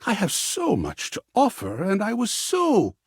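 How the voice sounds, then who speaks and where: Husky, wildly expressive theatrical artist. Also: male voice, sits high, unhurried, no echo, studio quality, heavily acted. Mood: disappointed. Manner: florid, dramatic, formal phrasing. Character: effusive, sensitive, theatrical male artist, an art-obsessed optimist. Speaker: voice actor playing a video game character. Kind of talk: theatrical video game character dialogue.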